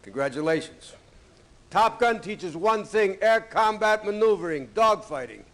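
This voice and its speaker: An actor playing a stern, gruff Navy commander who briefs pilots in a clipped, authoritative way in a video game. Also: male voice, high-pitched, lively intonation, measured pace, a bit bright. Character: stern, gruff male Navy commander and flight school instructor. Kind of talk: acted video game cutscene dialogue.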